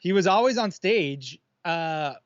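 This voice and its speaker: A man co-hosting a live stream, chatting in a relaxed, agreeable way. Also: high-pitched, slow, dry recording, muffled recording. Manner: laid-back, agreeable, short replies. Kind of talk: casual chat.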